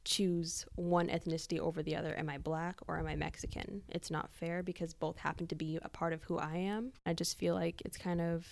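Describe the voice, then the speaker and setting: A woman's voice: on the low side, flat delivery, brisk, gravelly, hardly any pauses, a little mellow, a little hiss or hum. A young woman with an American accent, candidly sharing personal experience as a podcast guest.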